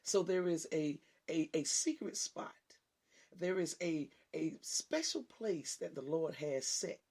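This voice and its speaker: A woman preaches with a husky, raspy voice that is often taken for a man's. Her pitch rises and falls widely as she swings from loud fervent cries to hushed, low asides. She hosts the show and speaks straight to her listeners. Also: expressive, slow. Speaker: minister and show host. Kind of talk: impassioned preaching on a talk show.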